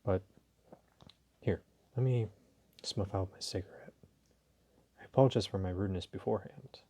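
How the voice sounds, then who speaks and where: Deep-voiced, courteous mafia boss, played by a man. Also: mellow. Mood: polite, flirtatious. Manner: polite, unhurried, teasing, hesitant phrasing. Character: smooth, courteous, flirtatious male mafia boss, dominant. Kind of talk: intimate audio roleplay addressed to the listener.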